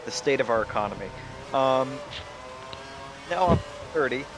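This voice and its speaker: A man's voice: mid-range voice, lively intonation, moderate pace. A young man casually commentating on a racing game, joking and swearing now and then. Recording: commentary over game engine noise. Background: racing game engine sounds.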